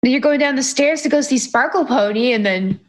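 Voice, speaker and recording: A woman's voice: high voice, moderate pace. A woman co-hosting a casual gaming podcast, chatty and wry, trailing off with fillers. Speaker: podcast co-host.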